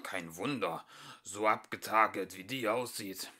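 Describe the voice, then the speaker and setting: A man's voice: on the low side, a little slow, pushed and bright. Man as a grumbling, blunt house. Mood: grumpy mocking. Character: grumpy, mocking male house.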